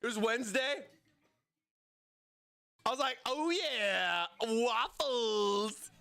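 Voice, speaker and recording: High-voiced guy streamer hyping a giveaway, slow drawn-out talk, bright clean voice, kinda strained. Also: creaky, smooth. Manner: excitable, playful, hypes up the chat. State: voice strained from overuse. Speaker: livestream host. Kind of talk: hyped giveaway livestream chat.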